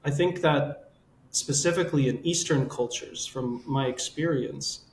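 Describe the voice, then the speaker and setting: A man hosting a conversational advice podcast, summarizing and reframing points in a measured way, recorded with roomy echo. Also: medium pitch. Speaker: podcast host and relationship counselor. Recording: roomy echo, audible background noise.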